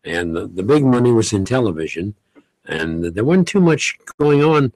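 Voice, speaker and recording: An older man speaking in a low, unhurried voice, recalling old stories with an easy chuckle. He sits at a studio microphone in a dry, close-miked radio studio. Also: very animated, darkish tone, no room sound, rough recording. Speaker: longtime radio host and guest. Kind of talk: relaxed reminiscing conversation. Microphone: studio condenser mic on boom.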